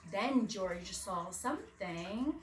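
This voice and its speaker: A woman reading a children's picture book aloud like a preschool teacher, with expressive, dramatic emphasis for young listeners. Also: medium pitch, slow pace. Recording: roomy, distant mic, faint background noise.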